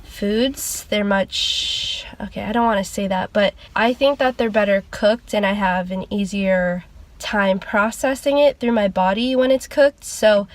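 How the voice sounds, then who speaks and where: A woman's voice: mid-range voice, unhurried. Congested young woman chatting casually. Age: young adult. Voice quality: nasal. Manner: rambling, chatty, lots of "like" fillers. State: congested from a cold. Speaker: vlogger. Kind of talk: casual sit-down chat.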